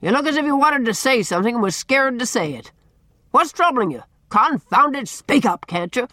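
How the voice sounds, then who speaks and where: A woman reading an audiobook and voicing a big, fierce, bullying middle-aged man who booms and barks out questions. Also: big pitch swings, moderate pace, no room sound, studio quality. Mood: irritated, demanding. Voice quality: loud, booming. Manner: blustering, demanding, barking questions. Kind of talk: audiobook character voice.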